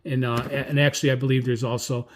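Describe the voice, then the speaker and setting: A man's voice: medium pitch, flat delivery, quick pace, background noise. A man giving a casual, hands-on radio review in a rambling, conversational way.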